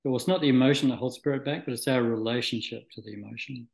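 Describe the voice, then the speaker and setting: Male interview guest, from Scotland and New Zealand, medium pitch, thoughtful and halting, recorded in an echoey room. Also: fairly even intonation, vocal fry. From Scotland, moved to New Zealand at nine. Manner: halting, thoughtful, searching for words. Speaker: interview guest, spiritual practitioner. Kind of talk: reflective, conversational interview answers.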